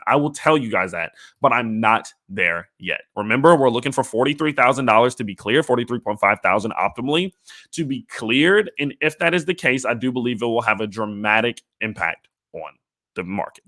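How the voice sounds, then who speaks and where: A young man with a slightly raspy, hoarse voice, hosting a casual livestream of market commentary and often checking in with "okay?". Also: mid-range voice, a bit bright. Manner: conversational, hedging, often ends with "okay?". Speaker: crypto channel host.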